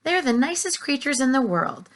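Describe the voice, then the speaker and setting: A woman's voice: high voice, very animated, moderate pace, a little hiss or hum, voice pushed up. A woman voicing a cheerful, friendly small boy reciting rhymes in a storybook. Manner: eager, sing-song rhyming.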